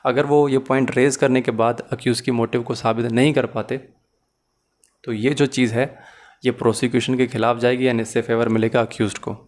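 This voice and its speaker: A man's voice: mid-range voice, flat delivery, a little fast. A man with a Pakistani accent lecturing as a law teacher, mixing English into his speech and explaining points step by step in a didactic way. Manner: code-switches between Urdu-Hindi and English.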